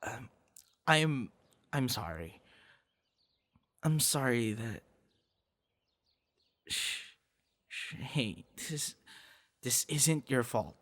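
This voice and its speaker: A man playing a nervous, tender young male friend in an intimate ASMR roleplay, speaking hesitantly with pauses and sighs. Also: mid-range voice, big pitch swings, a bit bright, exaggerated voice. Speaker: ASMR roleplay audio performer. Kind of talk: ASMR boyfriend roleplay, intimate scripted confession.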